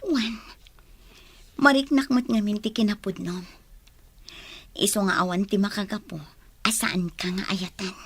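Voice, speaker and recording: An actress with an Ilocano accent playing a gentle young single mother in love in a radio drama, soft and emotive. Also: mid-range voice, unhurried, long pauses, breathy edge, dry recording. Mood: tender, hushed.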